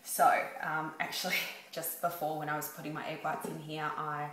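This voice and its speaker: A woman, speaking quietly and chattily as she explains a baking recipe at home. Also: deep voice, level tone, normal speed, roomy sound, poor audio. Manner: quiet, chatty and self-deprecating. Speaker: home baker. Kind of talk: baking tutorial. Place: home kitchen.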